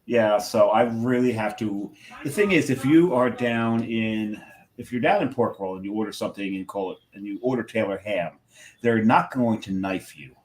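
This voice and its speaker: A man's voice: fairly low pitch, moderate pace, rough recording. A man co-hosting a beer show, cracking jokes and laughing often in casual banter. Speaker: show co-host. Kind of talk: casual podcast chat.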